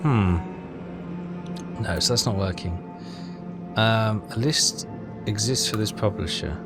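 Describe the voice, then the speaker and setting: A man's voice: fairly low pitch, slow pace. A man giving a casual game walkthrough, muttering and questioning himself as he thinks aloud. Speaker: game streamer. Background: game music.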